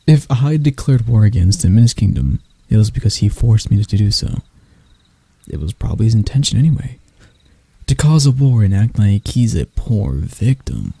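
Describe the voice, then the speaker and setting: A man playing a husky, dark-voiced proud elf royal. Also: fairly low pitch, sing-song, moderate pace, dry recording, heavily acted. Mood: scornful, taunting. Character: proud, mocking elf royal interrogating an enemy knight. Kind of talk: ASMR roleplay monologue.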